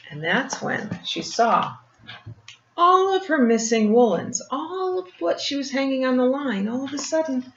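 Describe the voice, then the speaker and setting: An older woman reads a picture book aloud like a grandmother, pausing for gentle explanations and questions to the child. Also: a little high. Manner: gentle teacherly asides, asking the child questions. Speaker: grandmother storyteller reading to children. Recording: muffled, roomy home recording with faint noise.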